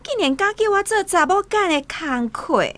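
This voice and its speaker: An actress with a Taiwanese accent playing a spoiled, spiteful young concubine in a radio drama. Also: female voice, very high-pitched, lively intonation, normal speed, fairly bright tone, no room sound. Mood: scornful, bossy.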